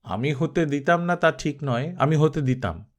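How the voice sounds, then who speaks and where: A man's voice: fairly high pitch, expressive. Man reading as a probing, philosophical film director. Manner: questioning, deliberate. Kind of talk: Bengali audiobook character acting.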